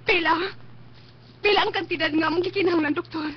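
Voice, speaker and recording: Anxious devoted daughter, high-pitched voice. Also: female voice, steady tone, fast talker. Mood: distressed, pleading. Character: anxious, devoted daughter tending her stricken father. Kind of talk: radio drama acting. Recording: muffled lo-fi stream with faint noise.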